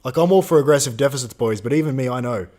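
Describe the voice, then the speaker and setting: A man's voice: mid-range voice, racing, a little mellow. A man in his twenties co-hosting a live Q&A stream, chatting casually and reading out viewer questions. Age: 20s. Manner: casual slangy, swears, reads out chat questions.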